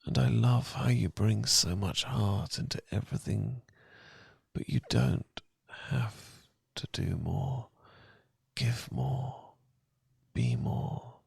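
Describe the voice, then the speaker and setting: A British man murmurs as a loving, comforting boyfriend in a romantic ASMR relaxation. His low voice is heavily creaky and husky, often slipping into a whisper. He speaks slowly and tenderly, very close to the microphone. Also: sing-song, no echo. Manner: gentle, tender, unhurried, soothing. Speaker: ASMR audio performer. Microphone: close microphone, dry.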